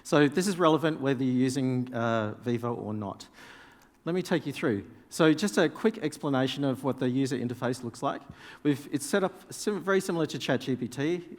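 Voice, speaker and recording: Danish-native guy doing a live demo on stage, chatty, talks to the crowd, mid pitch, big hall reverb. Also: animated, brisk. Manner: casual, explanatory, addresses audience directly. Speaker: conference presenter. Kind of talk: live software demo talk. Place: large conference hall.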